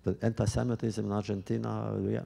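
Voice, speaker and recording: A man answering audience questions at a public talk, speaking thoughtfully and haltingly with many restarts. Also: lowish voice, little pitch movement, vocal fry, mellow, long reverb tail.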